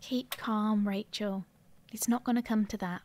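An actress playing a caring, steady female friend in an audio drama, soothing and practical. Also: medium pitch, measured pace, lightly acted. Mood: reassuring.

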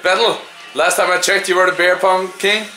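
A man's voice: high voice, steady tone, brisk, reverberant, over music, rough recording. A young man with a Norwegian accent hosting a party vlog, asking friends amused questions.

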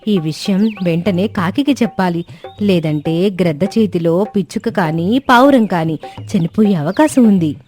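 A woman's voice: fairly low pitch, normal speed, darkish tone, lightly acted. A woman voicing an anxious stork who frets and exclaims about danger in a children's cartoon story. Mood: alarmed. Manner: fretting, exclaiming to itself. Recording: music bed under the voice.

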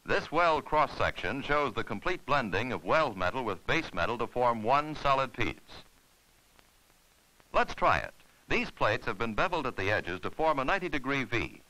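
A man's voice: medium pitch, bright, forceful tone, low-quality recording. A man narrating an instructional film in a formal, scripted, step-by-step way.

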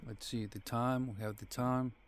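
A man reviewing a product casually, thinking aloud in short, halting fragments. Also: medium pitch, steady tone.

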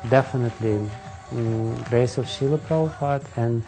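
Earnest man with a mellow voice. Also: mid-range voice, moderate pace, creaky, soft background music, rough recording. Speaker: interviewee. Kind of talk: interview answer.

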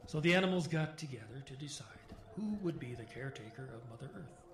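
A man's voice: mid-range voice, animated, fast, background noise, lightly acted. An actor playing a haunted older man telling stories in an audio drama, plain-spoken and folksy, with clipped phrasing and wry humor. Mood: wry storytelling.

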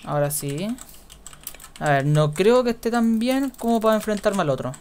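A young man with a Chilean accent, giving casual gameplay commentary in a quiet mutter that repeats words in runs. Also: high-pitched, animated, moderate pace, slight background noise. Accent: Chilean Spanish. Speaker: gaming YouTuber.

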